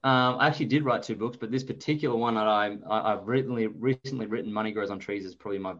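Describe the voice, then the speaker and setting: A man's voice: on the low side, fast, fairly bright tone, lo-fi sound. A man in his thirties with an Australian accent, talking casually and anecdotally as an interview guest. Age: 30s. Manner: chatty, informal, anecdotal. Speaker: interview guest, investor and author.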